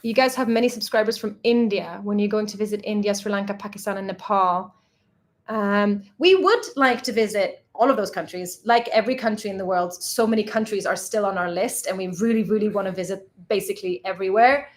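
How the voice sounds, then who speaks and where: A woman's voice: mid-range voice, a little fast. A woman from Sweden, a native Swedish speaker, co-hosting a travel channel's live Q&A and chatting casually while reading out viewer questions. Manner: chatty, laughs often, reads out viewer questions. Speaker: travel vlogger co-host.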